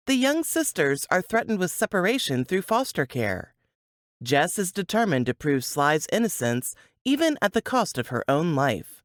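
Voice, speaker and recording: A woman's voice: sits low, no room sound, studio quality. A woman reading a scripted book blurb as a dramatic narration.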